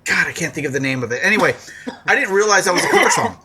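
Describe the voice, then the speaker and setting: Bright-voiced rambling man in his forties. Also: sits high, big pitch swings, rapid-fire, husky. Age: 40s. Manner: rambling, heavy fillers and swearing. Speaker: podcast co-host. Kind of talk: casual comedic chat.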